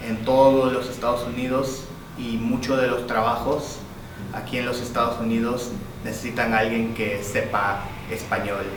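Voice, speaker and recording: A man from Peru speaking in a measured way, sometimes searching for words, in a student interview. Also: medium pitch. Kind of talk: testimonial interview. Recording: echoey room from far, noisy.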